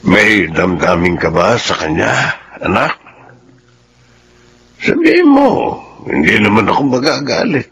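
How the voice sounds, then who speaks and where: Gentle old father, low husky voice, halting and tender, radio drama. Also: sing-song, heavily acted. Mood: tender, searching. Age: older. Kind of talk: Filipino radio drama acting.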